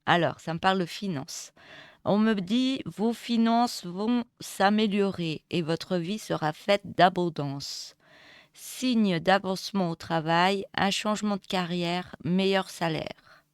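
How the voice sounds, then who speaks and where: A woman with a cold and a hoarse voice, giving a casual tarot reading with many hesitations and restarts. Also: on the low side, slow pace, dry recording. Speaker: tarot reader. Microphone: close mic. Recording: dry close mic.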